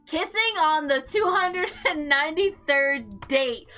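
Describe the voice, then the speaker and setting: A woman hosting a visual novel playthrough, reading aloud and reacting with animated, slangy exclamations over background music. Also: high-pitched, slow. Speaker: gaming video host.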